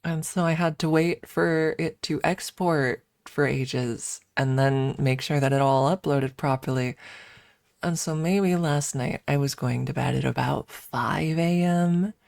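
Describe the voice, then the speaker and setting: Very low-voiced woman, slow ASMR chat. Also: steady tone, gravelly, darkish tone, no room sound, clean studio sound. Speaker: ASMR livestreamer. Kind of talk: ASMR, casual rambling chat. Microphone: close ASMR microphone.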